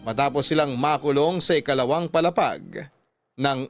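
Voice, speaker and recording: A man's voice: medium pitch, normal speed, lightly acted. A man with a Filipino accent playing a wistful letter sender narrating family memories in a radio drama. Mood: sorrowful. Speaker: storyteller reading a letter to a radio drama host. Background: music under the voice.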